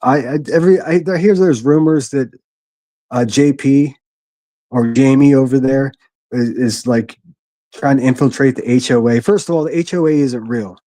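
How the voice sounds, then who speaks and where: A man with a gruff voice hosting a solo livestream from his quiet living room, reading chat and speaking bluntly with frequent restarts. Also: mid-range voice, moderate pace, no echo. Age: adult, a military veteran. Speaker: livestream host.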